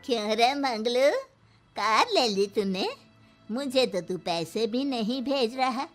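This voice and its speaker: A woman's voice: fairly high pitch, animated, slow. A woman playing a bossy old village grandmother-in-law in a story, nagging and complaining. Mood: reproachful. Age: older. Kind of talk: dramatised Hindi moral story. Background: music.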